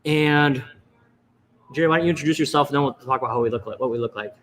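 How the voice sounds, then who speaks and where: A man's voice: mid-range voice, fast talker, fairly bright tone. A man being interviewed as a guest, talking in a playful, laughing way. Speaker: guest, angel investor. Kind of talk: casual interview chat.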